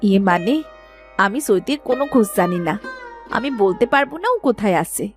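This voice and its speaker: A woman's voice: medium pitch, big pitch swings, moderate pace, faint music behind, close to an everyday voice. A woman voicing a guarded, evasive village sister-in-law in a Bengali cartoon story. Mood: evasive, reproachful.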